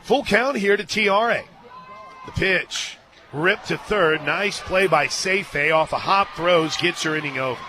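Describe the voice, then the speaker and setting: A male radio announcer with a fairly high voice calls a softball game live. He moves between clipped pitch-by-pitch calls and folksy, joking banter with listeners and his booth partner. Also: measured pace, background noise. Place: outdoor stadium press booth.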